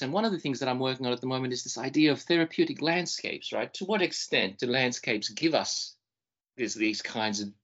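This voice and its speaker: A man's voice: medium pitch, a bit bright, lo-fi sound. Man co-hosting a podcast, thoughtful. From Fiji and Australia. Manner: thoughtful, repeats words while searching for phrasing. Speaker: podcast co-host. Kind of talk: casual self-introduction.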